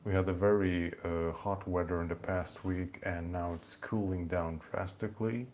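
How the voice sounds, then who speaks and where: Deep-voiced Hungarian man chatting live. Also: steady tone, normal speed, a little mellow, a little hiss or hum. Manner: rambling, many fillers and restarts. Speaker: channel host. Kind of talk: casual live-stream chat. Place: home in the evening.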